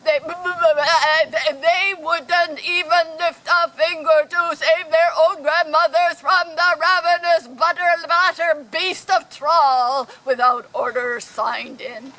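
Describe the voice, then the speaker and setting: A woman playing a talking electronic guidebook in a radio play, narrating in a droll, deliberate and informative way. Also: very high voice, steady tone, sharp tone, no room sound, exaggerated voice, low-quality recording.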